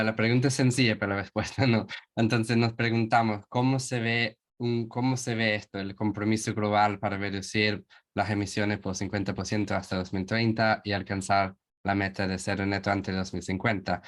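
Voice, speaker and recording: A man from England with an English accent presenting a webinar in a second language, hesitant and searching for words. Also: lowish voice.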